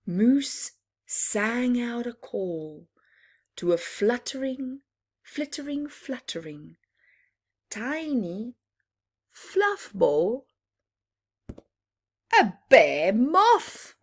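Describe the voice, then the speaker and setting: A woman reading a picture book aloud to young children in an animated storytime style, with dramatic pauses and little explanations for her listeners. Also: a little high.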